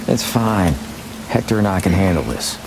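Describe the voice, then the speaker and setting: A man's voice: low voice, animated, normal speed, heavy background noise. A man playing a stoic, stubborn cowboy gunslinger in a video game, terse and matter-of-fact. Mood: stern.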